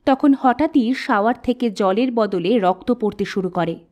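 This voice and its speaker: A woman narrating a spooky folk tale in a steady, scripted storytelling manner. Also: fairly high pitch, moderate pace, muffled recording.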